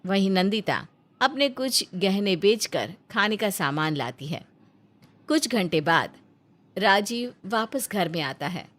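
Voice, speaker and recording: A woman's voice: mid-range voice, lively intonation, slow pace, a bit bright. A woman reading scripted narration for a cartoon family story as its narrator.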